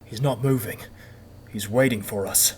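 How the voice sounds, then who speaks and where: A man's voice: lowish voice, normal speed, husky. A man playing a brave young survivor leader in an audio drama, speaking in short, urgent phrases. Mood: tense.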